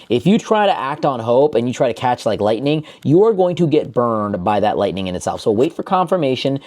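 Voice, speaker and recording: A man's voice: medium pitch, mellow. Male online trading coach, mid-range voice, unscripted market commentary, emphatic repetition. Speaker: stock trading YouTuber.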